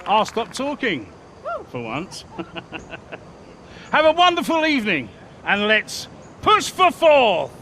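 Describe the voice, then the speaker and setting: A man's voice: high-pitched, wildly swooping pitch, slow pace, long pauses, heavily acted. An actor playing a genial team boss giving a speech to his staff, chuckling as he goes, in a video game cutscene. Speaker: team principal. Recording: crowd and noise around him.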